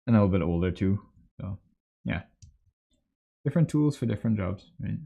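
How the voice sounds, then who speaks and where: Low, gravelly, laid-back man. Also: animated, fairly quick, warm tone. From Trinidad and Tobago. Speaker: livestream host, 3D artist. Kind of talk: casual livestream chat while sculpting. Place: small indoor home workspace.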